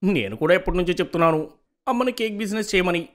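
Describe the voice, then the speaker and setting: A woman's voice: fairly low pitch, rapid-fire, lightly acted. A woman voicing a cheerful, encouraging young boy in a children's cartoon, enthusiastic and persuasive. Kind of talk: animated children's story dubbing.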